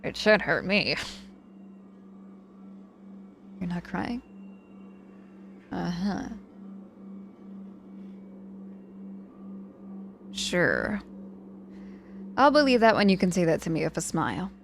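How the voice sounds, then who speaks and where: A woman acting a proud, guarded young witch hurt in hospital, halting and grudgingly tender, in an intimate ASMR audio roleplay. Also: fairly low pitch, big pitch swings, vocal fry, background noise, heavily acted. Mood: teasing.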